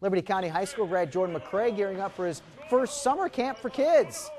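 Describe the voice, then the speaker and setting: A middle-aged man anchoring TV sports, reading highlights in a punchy, emphatic announcer style. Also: lively intonation, fairly quick, darkish tone. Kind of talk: scripted sports highlights narration. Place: TV news studio.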